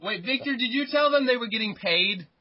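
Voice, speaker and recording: A nineteen-year-old man hosts a loose chat with friends, his fairly high voice husky and broken by stammered restarts. The sound is muffled and lo-fi. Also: expressive, a little fast. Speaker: podcast host. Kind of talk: casual chat among friends.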